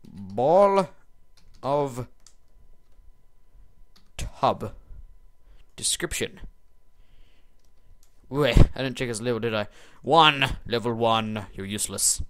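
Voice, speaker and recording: A man's voice: medium pitch, expressive, slow, long pauses, fairly bright tone, smooth. A teenage boy giving casual, rambling commentary over a screen recording, muttering and mocking himself as he types. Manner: rambling, self-mocking, muttering while typing. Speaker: video maker.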